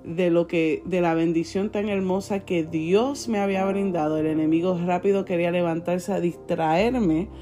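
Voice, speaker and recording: A young woman with a Puerto Rican accent speaks in a soft-edged, mellow voice. She chats casually and cheerfully in a testimonial style, with gentle guitar music playing faintly behind her. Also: lowish voice, steady tone, moderate pace, few pauses. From born in Puerto Rico, raised in the United States. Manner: cheerful, given to laughing. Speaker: podcast co-host, church worship leader.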